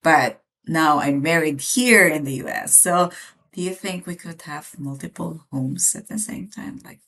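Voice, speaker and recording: A woman's voice: low voice, a little slow, fairly bright tone. A woman in her thirties from the Philippines, co-hosting a podcast in a reflective, casual chat full of fillers. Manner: reflective, many fillers and restarts. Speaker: podcast co-host.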